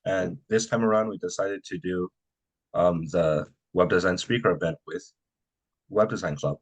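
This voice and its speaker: A man's voice: sits low, normal speed, husky, low-quality recording. A young man from a student robotics team presenting casually and hesitantly, with frequent "um".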